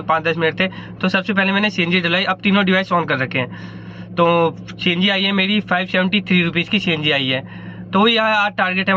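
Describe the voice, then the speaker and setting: A man narrates his day as a cab driver, his voice high-set and quick. He rambles through restarts with a slightly sore throat. The phone audio is dull and lo-fi, with clear street traffic behind him. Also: sharp tone. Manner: chatty, rambling with self-corrections. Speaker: ride-hailing driver vlogger. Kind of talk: casual vlog narration. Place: car and fuel station in a city.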